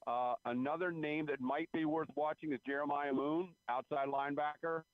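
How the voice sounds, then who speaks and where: A man giving measured, explanatory sports analysis as a beat writer guest, over a tinny phone line. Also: on the high side, steady tone, no room sound. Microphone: phone call.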